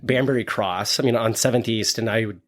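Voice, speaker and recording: A man's voice: medium pitch, brisk, fairly bright tone. A man hosting a local podcast, chatting loosely and rambling through restarts. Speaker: podcast host. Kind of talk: casual interview chat.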